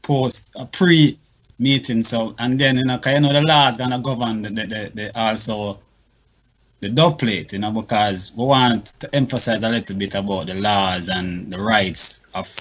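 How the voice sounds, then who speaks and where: A man's voice: fairly low pitch, fairly even intonation, moderate pace, slightly rough. A man on a talk show panel explaining things earnestly in fairly formal wording, often adding "you know".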